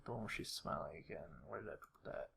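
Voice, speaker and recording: Guy thinking out loud in a casual tutorial, lowish gravelly voice, kinda mumbly and halting, typing clicks. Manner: low-key, muttering, halting. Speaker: video creator. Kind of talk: casual screen-recorded walkthrough, thinking aloud. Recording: faint noise with keyboard clicks. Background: computer keyboard typing and mouse clicks.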